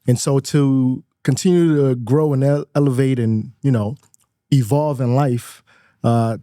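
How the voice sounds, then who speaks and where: A man's voice: medium pitch, fairly even intonation, a little slow, warm tone, dry recording. A man in his thirties from Nigeria, a guest in a studio interview, speaking in a reflective way, laughing often and repeating words as he thinks. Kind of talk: casual interview chat.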